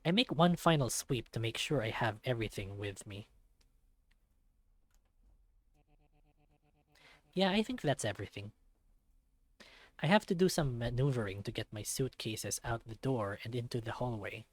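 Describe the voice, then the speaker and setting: A man's voice: medium pitch, animated, normal speed, close to an everyday voice. A man voicing a self-deprecating young male otter, narrating a visual novel in the first person with wry self-mockery. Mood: mildly weary.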